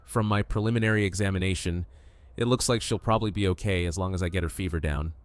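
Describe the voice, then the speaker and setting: A man acting a calm, measured doctor in an audio drama, clinical and reassuring. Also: low-pitched, dry recording, lightly acted. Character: calm, measured male survivor-camp doctor.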